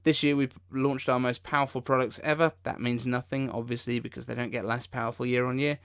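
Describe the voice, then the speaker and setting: A man with a mid-pitched voice hosts a live reaction stream. He talks informally and off the cuff, with an incredulous edge to his commentary. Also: level tone, fast talker, clear, resonant tone. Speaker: livestream host.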